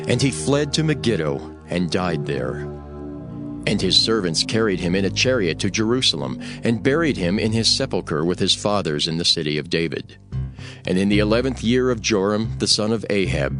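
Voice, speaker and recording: Lively-pitched male scripture narrator.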